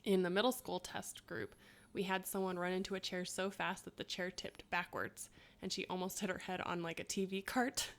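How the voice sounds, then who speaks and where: A woman's voice: on the low side, little pitch movement, normal speed, creaky. A woman in her thirties co-hosting a podcast, reading quiz clues and chatting, laughing often. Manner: chatty, laughs often, self-mocking asides. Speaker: podcast co-host.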